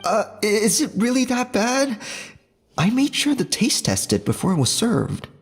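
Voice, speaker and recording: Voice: mid-range voice, wildly swooping pitch, unhurried, faint music behind. An actor playing a concerned, sincere kitchen worker in an anime game, speaking earnestly. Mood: worried.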